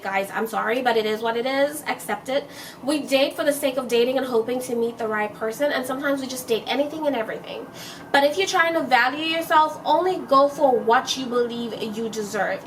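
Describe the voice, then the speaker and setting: Chatty high-voiced young woman giving advice. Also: recorded from a distance, a little hiss or hum, rough recording. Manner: chatty, emphatic, repeats points, laughs often. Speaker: self-help vlogger. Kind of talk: casual advice monologue.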